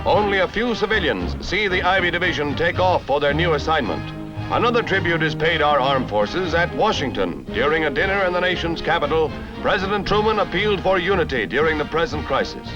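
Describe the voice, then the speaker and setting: An American man narrating a 1950s theatrical newsreel in a brisk, dramatic announcer style. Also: a little high, lively intonation. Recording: dull vintage newsreel soundtrack, loud music.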